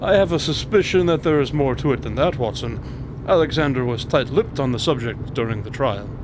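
A man's voice: expressive, brisk, close to an everyday voice. A man playing a shrewd, exacting detective with a dry, precise, formal manner in a radio drama. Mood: dry, intent. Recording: clear background noise, low rumble.

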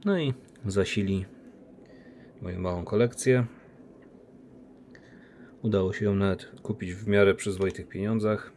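A man unboxing silver coins, talking casually on a phone recording with a relaxed, chatty manner. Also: on the low side, unhurried, pauses often, a little hiss or hum. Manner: relaxed, chatty, with many diminutives and fillers. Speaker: coin collector unboxing silver. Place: indoor room by a window. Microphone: phone camera.

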